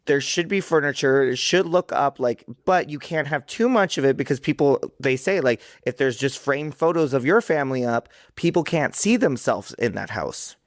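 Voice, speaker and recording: A man's voice: mid-range voice, lively intonation, moderate pace, hardly any pauses, no echo, studio quality. A man hosting a casual interview, talking in a rambling way and laughing easily. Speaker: podcast host.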